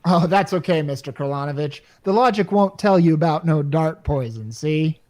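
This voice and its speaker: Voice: mid-range voice, normal speed, darkish tone, lightly acted. A performer in a radio play acting a brash, exasperated salesman who talks in slangy, wisecracking sales patter. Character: brash, exasperated salesman named Caldwell, wisecracking working-class type.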